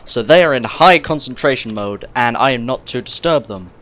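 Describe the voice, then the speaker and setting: A young man, slightly croaky and sniffly, rambling casually to the viewer as he plays and comments on a game. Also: medium pitch, lively intonation, normal speed, fairly bright tone. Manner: rambling, chatty, talks straight to the viewer. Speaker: gamer recording gameplay commentary. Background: game music and sounds.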